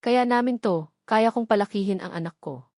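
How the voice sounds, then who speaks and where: A woman's voice: mid-range voice, normal speed, no echo, clean studio sound, lightly acted. A woman narrator playing a wounded, sarcastic young single mother with a Filipino accent in an audio romance drama. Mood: angry, defiant. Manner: cold, sharp, accusing.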